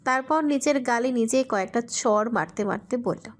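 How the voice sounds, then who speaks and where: A woman's voice: a little high, moderate pace. A woman reading scripted narration for a romantic audio story.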